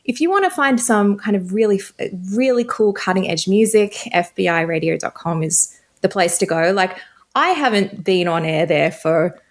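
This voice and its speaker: A woman in her thirties from Australia with a softened Australian accent, chatting upbeat and laughing easily as an interview guest. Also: mid-range voice, animated, normal speed. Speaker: guest, tech creator. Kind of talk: casual interview chat.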